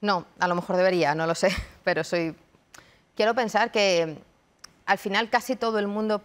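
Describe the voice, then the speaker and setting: A woman from Madrid, a university researcher and lecturer in her thirties, giving measured, reflective answers in a TV studio interview. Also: mid-range voice. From Madrid, Spain. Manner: thoughtful, measured, frequent restarts. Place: television studio.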